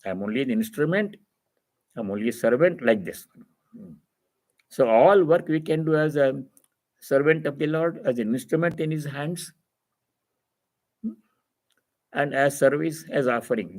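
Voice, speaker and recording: Man giving a measured spiritual discourse. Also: mid-range voice. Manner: didactic, repeats phrases for emphasis. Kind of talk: spiritual discourse, reading and explaining scripture.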